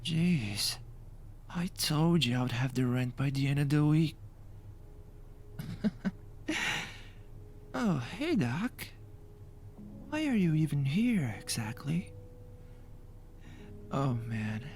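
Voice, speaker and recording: A man playing a flamboyant, teasing ghoul mask maker in an anime audio roleplay, theatrical and playful with breathy asides. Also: a little high, big pitch swings, slow, pauses often, darkish tone, slight background noise. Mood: flustered, casual. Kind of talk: anime fan audio roleplay with ASMR touches. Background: faint music.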